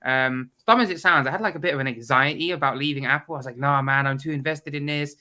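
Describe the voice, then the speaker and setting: A man guesting on a video call chat, his voice bright and forward and sitting a bit high, though a head cold leaves it stuffy. He talks bluntly and with plenty of opinion. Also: fast talker. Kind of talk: casual chat.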